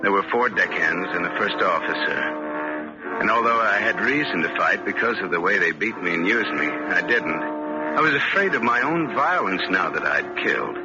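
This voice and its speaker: An actor playing a troubled, guilt-ridden young stowaway in an old radio drama, confessional and halting. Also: male voice, deep voice, lively intonation. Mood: haunted, confiding. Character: troubled young male stowaway, guilt-haunted, guarded and meek. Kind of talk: 1940s radio drama acting, first-person narration and dialogue. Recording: muffled old radio recording, loud music behind.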